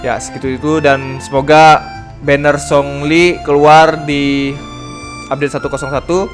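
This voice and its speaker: A man's voice: a little high, flat delivery, moderate pace. A young man with an Indonesian accent giving casual, slangy, rambling gameplay commentary. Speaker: gaming YouTuber. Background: game music.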